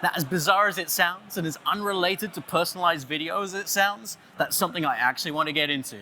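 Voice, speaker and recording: A man with an Australian accent giving a playful conference talk, loudly joking and chatting with the audience. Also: high-pitched, quick pace, slight background noise. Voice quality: loud voice. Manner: jokey, playful, chatty with the crowd. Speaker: conference speaker. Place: conference stage, audience on headphones.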